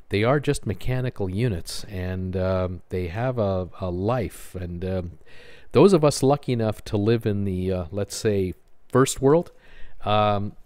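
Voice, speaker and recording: Low-voiced older man narrating casually. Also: darkish tone, no echo. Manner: rambling, many "uh" fillers, wistful about old ships. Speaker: cruise vlogger. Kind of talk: casual narration over photos.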